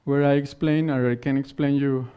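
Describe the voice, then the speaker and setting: A man whose first language is not English, giving a technical conference talk and answering questions in halting phrases that he often restarts. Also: mid-range voice, flat delivery, clear, resonant tone. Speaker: conference speaker. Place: conference hall stage. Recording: dull lo-fi conference recording.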